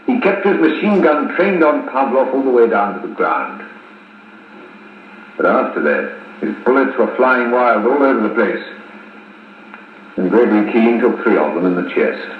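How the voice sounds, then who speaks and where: A man acting a formal, deliberate, middle-aged colonel narrating grim events in a 1950s radio serial. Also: mid-range voice, narrow, tinny audio. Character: measured, authoritative British intelligence colonel recounting grim events. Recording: scratchy, echoing 1950s wire recording.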